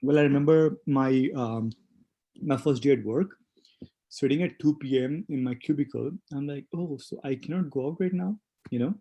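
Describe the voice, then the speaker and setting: Reflective Indian-born man, mellow voice. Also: mid-range voice, normal speed, lo-fi sound. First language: not English. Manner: reflective, hesitant with many fillers. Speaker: guest, spa owner. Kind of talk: casual interview conversation.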